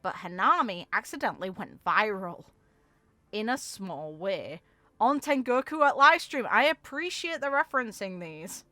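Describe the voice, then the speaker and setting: A woman with a bright, forward voice reads game lore aloud in a dry, close-sounding recording. Her delivery is expressive, and she slips into informal commentary as she goes. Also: medium pitch, moderate pace, no echo. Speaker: video game content creator.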